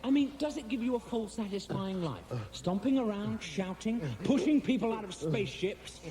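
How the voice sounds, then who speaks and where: A man playing a quick-thinking space traveller, cajoling and exasperated, in a sci-fi comedy audio drama. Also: sits high, animated.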